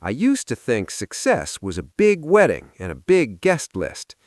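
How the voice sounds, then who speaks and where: A man's voice: medium pitch, wildly swooping pitch, a little slow, a little mellow, no room sound, studio quality. A man voicing a humbled young nephew in a story, an ashamed groom speaking earnestly and apologetically. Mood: humbled, sincere.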